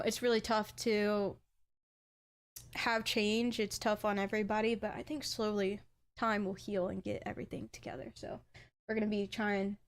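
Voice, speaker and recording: A young adult woman with an accent, chatting casually as a game streamer and bursting into excited exclamations. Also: medium pitch. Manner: chatty, bursts into excited "ooh"s over items. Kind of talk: casual live-stream chat.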